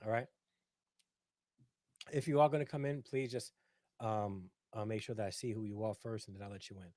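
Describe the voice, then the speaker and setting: A man's voice: on the low side, steady tone, normal speed, darkish tone, no echo, clean studio sound. A middle-aged man hosting a casual livestream, talking at length, reading out viewer comments and often checking in with "right?". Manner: talkative, repeats himself, says "right?" often. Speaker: livestream host.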